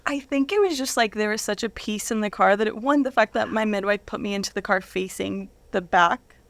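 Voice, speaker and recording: A woman in her thirties telling personal stories casually as an interview guest, in very informal, animated speech. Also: medium pitch, brisk, no echo. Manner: informal, animated anecdotes peppered with "like".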